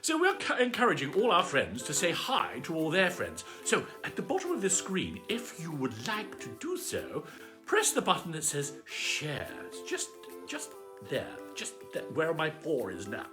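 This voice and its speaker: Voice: medium pitch, huge pitch swings, normal speed, over music. A performer voicing a pompous aristocratic cat lord, gushing theatrically and affectionately as host of a live bedtime story show. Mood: chummy, grandiose. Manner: pompous, gushing, luvvie exclamations. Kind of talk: theatrical livestream greeting and shout-outs.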